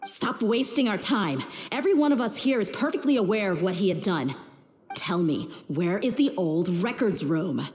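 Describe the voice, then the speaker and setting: A woman's voice: mid-range voice, animated, normal speed, darkish tone, lightly acted. An actress playing a tough, blunt, brooding mercenary woman in a video game, terse and firm. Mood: stern, impatient. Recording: game music behind the voice.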